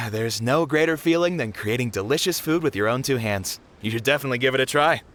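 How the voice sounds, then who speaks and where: A man's voice: medium pitch, fairly quick, a bit bright, a little hiss or hum, close to an everyday voice. A man voicing a friendly, generous snack stall vendor at a festival in a video game. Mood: encouraging. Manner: easygoing, generous, chatty.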